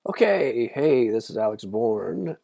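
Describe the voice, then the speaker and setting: A man with a croaky voice giving a casual livestreamed music theory lesson as a guitar teacher, often checking in with "okay?". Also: mid-range voice, very animated, slow pace, mellow, no echo, studio quality.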